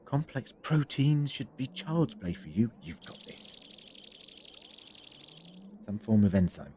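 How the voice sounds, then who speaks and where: An actor playing an eccentric, inquisitive time-traveller in an audio drama, muttering briskly to himself. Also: male voice, lowish voice, very animated, noisy background. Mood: puzzled, musing.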